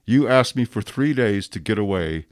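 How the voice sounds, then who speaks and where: An actor playing a stern, contemptuous police inspector in a radio drama, commanding and unyielding in a deliberate delivery. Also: male voice, lowish voice, animated, dry recording, clean studio sound, natural voice. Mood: scornful, triumphant.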